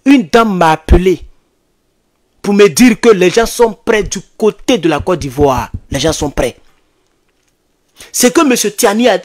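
An Ivorian man in his thirties speaking as an activist host, giving impassioned, urgent commentary to his online followers and repeating phrases for emphasis. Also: sits high. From Ivory Coast. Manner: emphatic, repetitive, urgent, animated. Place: small indoor home studio. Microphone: desk microphone close in front.

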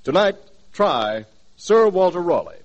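A man reading a scripted 1940s radio commercial as announcer, with emphatic, measured salesman phrasing. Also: sits high, big pitch swings, highs cut off, very noisy.

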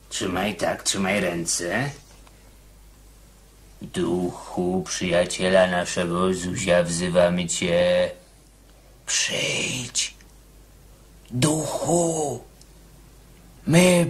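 An actor playing an anxious, eager, simple-minded prisoner in a radio drama, excitable, pleading and insistent. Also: male voice, fairly low pitch, extremely slow, long pauses. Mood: solemn, urging.

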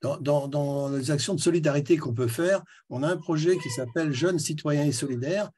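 A man's voice: fairly high pitch, normal speed, darkish tone, breathy edge. A man interviewing his guest and asking questions in a hesitant way, repeating words before he gets going.

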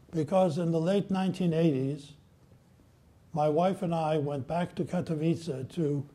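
Older male lecturer, deep-toned and dark, slightly raised pitch, unhurried solemn delivery. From Poland. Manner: measured, solemn, reads quotations slowly. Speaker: guest lecturer. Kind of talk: prepared history lecture. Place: library lecture room with audience. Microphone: at a lectern, filmed by a far camera.

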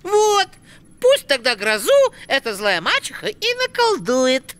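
A woman's voice: high voice, huge pitch swings, measured pace, a bit bright, exaggerated voice. A woman voicing a sassy, opinionated female cartoon animal with a dramatic, emphatic way of talking. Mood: sassy, indignant.

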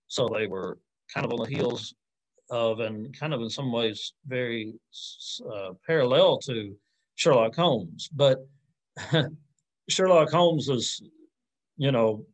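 Thoughtful, halting man in his forties. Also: medium pitch, rough recording. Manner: thoughtful, halting, hedging, self-correcting. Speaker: academic lecturer answering audience questions. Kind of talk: informal question-and-answer talk to camera. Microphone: computer mic on a video call.

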